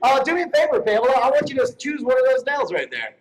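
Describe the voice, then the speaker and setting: A man's voice: very high-pitched, very animated, fast, background noise. A man doing joking, teasing showman patter as a magician and presenter at a live event. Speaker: stage magician co-presenting an awards show. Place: live event stage before an audience.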